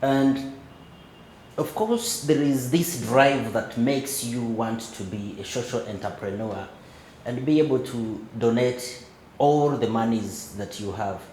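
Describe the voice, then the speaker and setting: A man hosting a podcast interview, gently prompting his guest and murmuring "mm" along as he listens. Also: medium pitch, takes it slowly, echoey room, slight background noise. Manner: encouraging, frequent backchannel "mm", gentle prompting. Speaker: podcast host.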